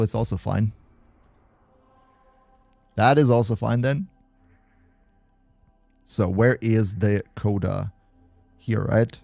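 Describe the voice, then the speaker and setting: A young man with a German accent hosts a gaming livestream and keeps up an easygoing, lively chat with his viewers. His pitch swings up and down a lot as he talks, and the recording is dry and close, with no room sound. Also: vocal fry, no echo. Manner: chatty, reads and answers chat, laughs often. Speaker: livestream host.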